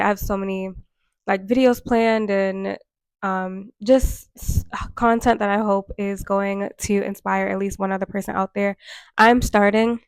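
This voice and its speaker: Nasal twenty-something woman with a cold, bone tired, chatty and earnest, pretty flat delivery, dry close mic. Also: medium pitch, no room sound. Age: 20s. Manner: chatty, earnest, many fillers. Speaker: vlogger. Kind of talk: casual talk to camera. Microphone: microphone.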